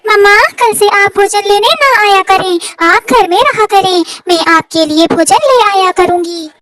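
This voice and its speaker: A woman's voice: very high-pitched, measured pace, a bit bright, far from the mic. A woman voicing a devoted young daughter sparrow in a children's cartoon, with a pleading, childlike and melodramatic delivery. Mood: pleading, sweet.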